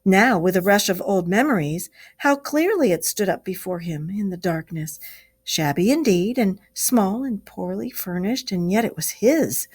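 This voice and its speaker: A teacher reading a classic animal story aloud to children in a slow, expressive storytelling voice. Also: female voice, mid-range voice, breathy edge.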